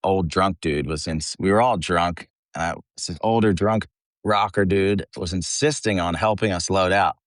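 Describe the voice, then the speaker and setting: Low-pitched American man, blunt and informal. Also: moderate pace, dry recording, clean studio sound. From eastern Pennsylvania, United States. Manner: blunt, profane, informal, slangy. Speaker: metal band vocalist, interview guest. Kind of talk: casual chat, anecdotal storytelling.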